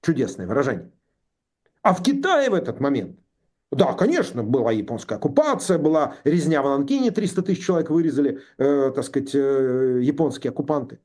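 A man in his sixties talking politics, indignant and sarcastic, with halting speech full of pauses and fillers. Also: medium pitch, sing-song, darkish tone. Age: 60s. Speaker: political commentator. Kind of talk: political discussion.

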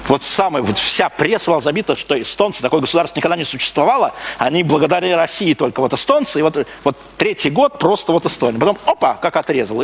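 A man from Russia giving a live public lecture, speaking emphatically with repeated phrases, rhetorical questions and broken-off sentences. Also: a little high. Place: live talk before an audience. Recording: clearly noisy live recording.

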